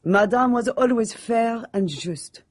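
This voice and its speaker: A guarded maid, slightly husky. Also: female voice, on the high side, slow pace, darkish tone. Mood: defensive. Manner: formal, guarded, curt denials. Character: guarded, defensive French maid loyal to her dead mistress. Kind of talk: radio drama acting.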